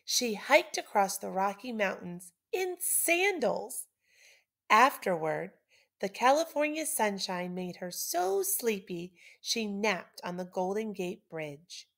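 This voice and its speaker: Expressive woman reading a children's storybook. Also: medium pitch. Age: middle-aged. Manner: clear, deliberate storytelling with dramatic emphasis. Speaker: teacher reading aloud.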